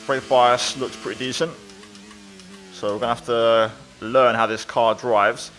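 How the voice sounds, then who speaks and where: A man provides casual commentary as he plays a video game. His voice sits at an ordinary pitch and he keeps an upbeat flow, narrating his own play for viewers. Faint music plays behind him. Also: fairly even intonation, a little slow, a bit bright. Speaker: gaming video creator.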